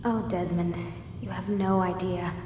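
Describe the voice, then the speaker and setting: An earnest, secretive female researcher from a game cutscene, voiced by an actress, firm and guarded. She sounds far from the mic in an echoing hall with clear rumbling noise. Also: steady tone, measured pace, natural voice. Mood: urgent, earnest. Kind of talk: video game cutscene acting.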